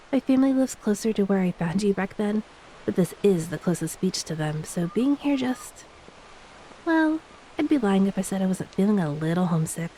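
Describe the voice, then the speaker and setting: A woman plays an affectionate former mermaid, now a wife, in an intimate romantic audio drama. Her voice is dark and relaxed at a middle pitch, with a tender, gently playful way of talking to her beloved. A faint steady hiss sits behind the voice. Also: natural voice, rough recording. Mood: wistful, nostalgic. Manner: tender, teasing, speaks softly to a beloved spouse. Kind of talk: romantic audio roleplay, intimate scripted acting.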